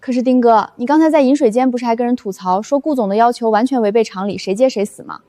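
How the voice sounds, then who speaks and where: An actress playing a feisty, sarcastic young woman working as an office assistant in an animated drama. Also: a little high, fast talker, dry recording, lightly acted. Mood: indignant. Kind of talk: dubbed animated workplace comedy drama.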